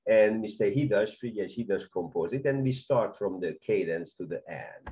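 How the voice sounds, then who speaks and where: A middle-aged man with a Hungarian accent, a conductor giving an informal music history lecture from his home office, enthusiastic, with halting non-native phrasing. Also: lowish voice. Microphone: webcam at desk. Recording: dull lo-fi webcam audio.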